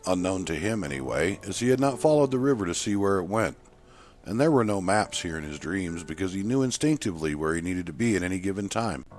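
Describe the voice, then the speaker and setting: A man's voice: low voice, expressive, brisk, a little mellow. A man narrating a scripted short story, with music playing behind him. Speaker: author and narrator.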